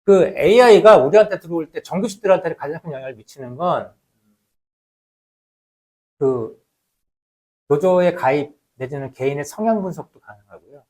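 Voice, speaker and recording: Male lecturer, researcher type, voice a little high, explanatory and emphatic delivery. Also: moderate pace, long pauses, a little mellow. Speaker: labour researcher giving a lecture.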